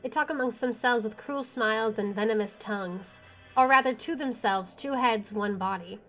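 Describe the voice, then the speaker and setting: A woman's voice: on the high side, moderate pace, fairly bright tone, soft background music. A woman streamer reading visual novel narration aloud, expressively, with casual chatty asides. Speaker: video game streamer.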